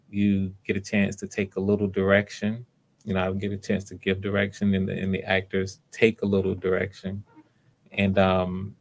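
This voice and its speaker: Male host and casting director, deep-ish voice, flat delivery, low-key and hesitant, casual livestream talk. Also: vocal fry.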